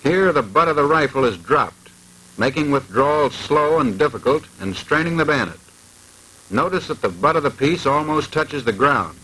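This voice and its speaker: A man's voice: on the high side, lively intonation, normal speed, bright, forceful tone, breathy edge, low-quality recording. A man reading formal, precise scripted narration in the manner of a 1940s military instructional film.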